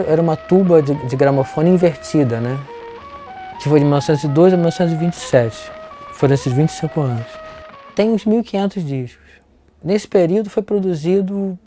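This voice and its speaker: A Brazilian man of mature years explains things casually in a mellow voice. He speaks haltingly, repeating words and adding tag questions, with faint music behind. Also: on the high side. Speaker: record collector, interviewee.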